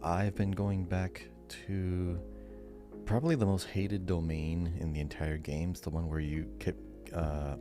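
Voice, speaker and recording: A man's voice: sits low, flat delivery, a little slow, music in the background. A man co-hosting a podcast in casual chat, explaining things in a rambling, loosely detailed way. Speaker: podcast co-host.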